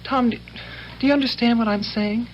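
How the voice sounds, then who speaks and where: Lady as young sweetheart in old radio play, highish voice, earnest and pleading, dull recording. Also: steady tone, a little fast, a little hiss or hum, lightly acted. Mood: pleading, insistent. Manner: earnest, insistent. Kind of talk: old-time radio drama acting.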